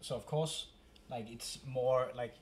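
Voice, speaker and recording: Danish singer searching for English words. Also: male voice, mid-range voice, fairly even intonation, slow. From Denmark. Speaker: band member, singer.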